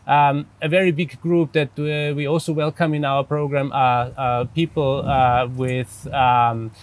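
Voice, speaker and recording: A man's voice: medium pitch, little pitch movement, a little slow. A man from Austria, a native German speaker with an Austrian accent, gives explanatory interview answers hesitantly, searching carefully for words. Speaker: interview guest, psychologist and dolphin therapist.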